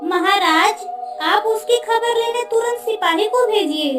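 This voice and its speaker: A woman's voice: very high voice, measured pace. A woman voicing an anxious, tender queen who longs for her lost daughter in a cartoon story. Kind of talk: Hindi cartoon story dialogue. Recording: roomy, distant sound with faint music.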